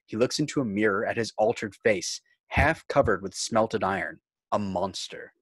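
A man's voice: fairly low pitch, moderate pace, a bit bright, breathy edge, no room sound. A man narrating screenplay scene headings and action in a scripted read. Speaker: narrator reading stage directions. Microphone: video call.

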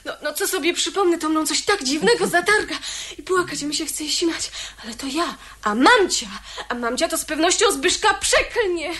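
A woman's voice: extremely high pitch, lively intonation, normal speed, a bit bright. A woman playing a naive, romantic young girl of good family in a radio drama, gushing and earnest. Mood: agitated. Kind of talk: 1970s Polish radio drama acting. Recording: faint hiss on old radio recording.

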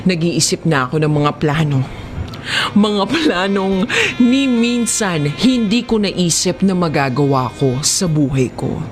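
Animated Filipino woman telling stories slowly. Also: fairly low pitch, faint music behind. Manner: expressive, giggly, conversational. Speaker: storyteller reading a comedic story. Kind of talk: comedic first-person story narration in Tagalog.

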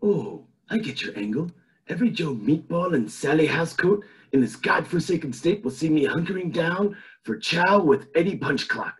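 A man's voice: a little high, expressive, moderate pace, close to an everyday voice. A man playing a haughty, scheming old cartoon tycoon, pompous, in an amateur table read. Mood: scheming, disdainful. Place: home room, on a video call.

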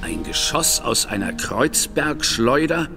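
A man's voice: medium pitch, very animated, slow pace, gravelly, music in the background, exaggerated voice. An actor playing a shrewd, composed male detective in a German audio drama, formal, courteous and inquisitive.

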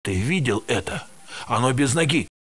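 An actor voicing a tired male security guard in a research facility in a video game dub, with a gravelly, matter-of-fact delivery. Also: medium pitch, lively intonation, moderate pace, fairly bright tone. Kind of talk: game voice lines, Russian dub.

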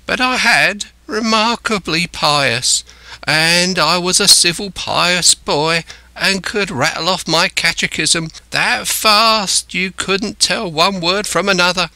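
A man's voice: high-pitched, slow pace, bright, forceful tone, dry recording, voice pushed up, exaggerated voice, poor audio. A man voicing a marooned, half-crazed old seafarer in a storybook, sly and childish, with rambling, wheedling rustic sailor speech. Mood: rambling, pious.

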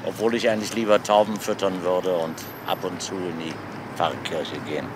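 An actor playing a calm, courteous police inspector who asks measured, probing questions in a crime drama. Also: male voice, sits low, background noise, lightly acted. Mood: friendly, casual.

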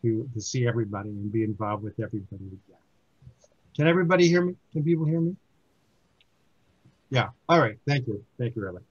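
An older man in his seventies gives an informal book talk to a community group. He speaks haltingly, restarting sentences often, at a middling pitch, on a dull, lo-fi recording. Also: slightly rough. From born in Germany, raised in the United States. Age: 70s. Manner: halting, with many restarts and fillers. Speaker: book reviewer giving a talk.

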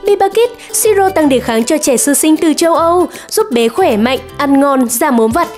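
A woman's voice: high voice, animated, normal speed, darkish tone, faint music behind. A woman reading a scripted commercial voice-over as an announcer. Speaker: advert announcer.

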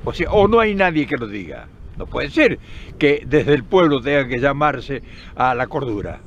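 A man's voice: mid-range voice, big pitch swings, moderate pace, gravelly, dull audio, a little hiss or hum. An older man with an Argentine accent talking straight to camera outdoors, earnest and emphatic, often restarting and repeating words. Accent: Argentine Spanish. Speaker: political commentator. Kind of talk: impassioned direct-to-camera commentary. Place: outdoors in countryside by forest path. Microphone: camera at close range.

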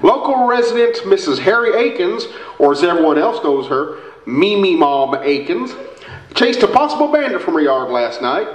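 A male actor plays a folksy small-town radio man with drawling phrasing. His pitch runs high and swoops around in old-time comedy style. The sound is roomy, picked up from a theatre stage. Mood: amused, animated. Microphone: stand microphone, camera far off. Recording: roomy stage recording from the audience.

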